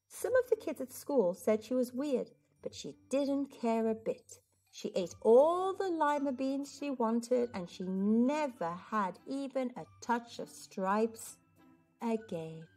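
A woman's voice: a little high, darkish tone. A woman storyteller reading a children's picture book aloud with expression. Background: music.

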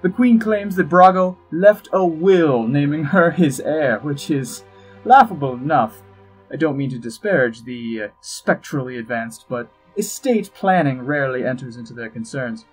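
An actor playing an urbane, wry, scheming ambassador in a fantasy audio drama, droll, precise and pompous, with a husky voice. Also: male voice, fairly high pitch, lively intonation, moderate pace, close to an everyday voice, rough recording. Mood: wry, indignant. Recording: music behind the voice.

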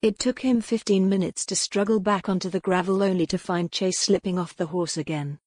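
A woman's voice: fairly low pitch, steady tone, fairly quick, a little mellow, dry recording, clean studio sound. A woman narrating a historical novel as scripted dramatic audiobook fiction.

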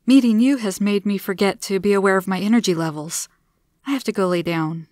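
A woman's voice: mid-range voice, fairly quick, a little mellow, no room sound, clean studio sound, natural voice. A woman voicing a friendly, candid, easily tired woman with an earnest, emphatic manner in a storybook. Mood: apologetic, resigned. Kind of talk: storybook character voice.

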